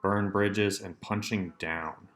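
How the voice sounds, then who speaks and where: A young man with a deep, low-pitched voice talks alone on his podcast. He rambles from one aside to the next in a dry, deadpan way, filling the gaps with plenty of ums. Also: slow pace. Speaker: solo podcast host. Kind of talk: rambling solo podcast chat.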